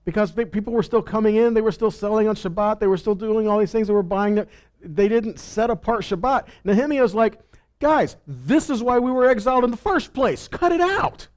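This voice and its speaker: High-voiced man teaching scripture informally. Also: lively intonation, brisk, a little mellow. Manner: conversational, asks rhetorical questions, acts out imagined replies. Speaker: Bible teacher. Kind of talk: informal sermon with scripture reading and commentary.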